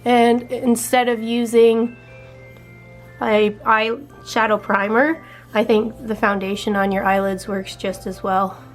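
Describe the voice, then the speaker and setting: Chatty young woman, slightly raspy. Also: fairly high pitch, slow pace, soft background music. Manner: relaxed, chatty, explains each step. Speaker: beauty vlogger. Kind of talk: casual makeup chat.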